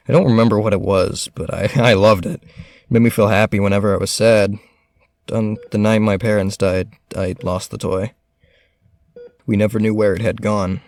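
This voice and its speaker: A man's voice: on the low side, a little mellow. A man playing an earnest, brooding teenage boy from a rich family in an audio drama, speaking a little hesitantly.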